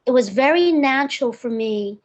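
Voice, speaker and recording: A woman's voice: sits high, animated, moderate pace. A woman in her fifties, born in Hong Kong and raised in the United States, eagerly telling her story as an interview guest. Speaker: guest, real estate broker. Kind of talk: conversational interview.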